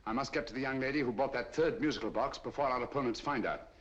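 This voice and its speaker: An actor playing a commanding British gentleman detective, clipped and decisive, in the theatrical manner of 1940s mystery films. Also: medium pitch, fast, close to an everyday voice. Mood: urgent, grim. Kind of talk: 1940s mystery film acting. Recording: dull old film soundtrack, faint noise.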